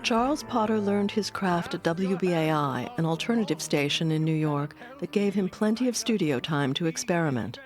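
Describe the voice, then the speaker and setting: A woman reading scripted documentary narration in a measured, informative manner. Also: fairly low pitch, lively intonation. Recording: faint music bed under narration.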